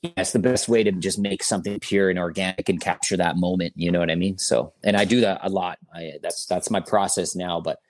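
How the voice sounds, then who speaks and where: A man's voice: sits low, steady tone, brisk, hardly any pauses, no echo. A man in his forties, a rapper guest in a casual interview, talking in a laid-back rambling way full of fillers and slang. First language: German or Italian. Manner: laid-back, rambling with fillers, says "bro" and "you know what I mean".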